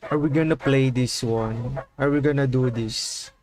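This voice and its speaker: A man's voice: mid-range voice, fairly even intonation, normal speed, a little mellow. A man livestreaming a game, commenting casually and reading bits of dialogue aloud.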